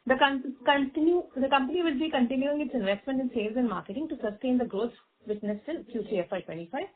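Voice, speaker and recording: A woman's voice: high voice, fast. A woman with an Indian accent formally reading out a scripted financial report as a company executive, stumbling now and then over the figures. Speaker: company executive presenting results. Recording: tinny, band-limited conference phone line.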